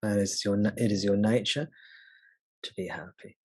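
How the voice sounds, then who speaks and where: A man guiding a meditation as a spiritual teacher, speaking gently and unhurriedly with long pauses. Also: fairly low pitch, steady tone, breathy edge, no room sound. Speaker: spiritual teacher leading satsang. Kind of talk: slow guided meditation.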